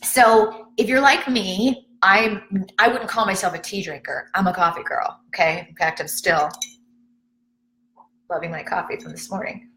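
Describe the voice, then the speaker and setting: A woman's voice: medium pitch, a little slow, pushed and bright, slight background noise. Chatty woman live-streaming from an echoey room. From Chicago area. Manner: chatty and rambling, self-deprecating, reacting to viewer comments. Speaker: fitness vlogger hosting a live stream. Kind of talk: casual live-stream chat to viewers. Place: at home, indoors.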